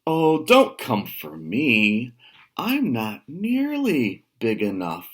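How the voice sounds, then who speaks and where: A man's voice: medium pitch, wildly swooping pitch, extremely slow, husky, exaggerated voice. A medium-sized, wily billy goat from a picture book played by a man pleads to be spared. The voice is raised a little and stretches out its phrases. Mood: pleading.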